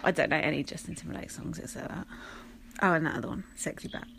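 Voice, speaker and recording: A woman's voice: deep voice, fast talker, vocal fry, fairly bright tone, slight background noise. A woman hosting a solo podcast, giving blunt, sweary, sarcastic commentary over a TV show. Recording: music and TV audio playing behind.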